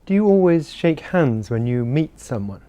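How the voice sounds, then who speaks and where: A man's voice: a little high, very animated, normal speed, mellow. A man asking interview questions politely for a course recording.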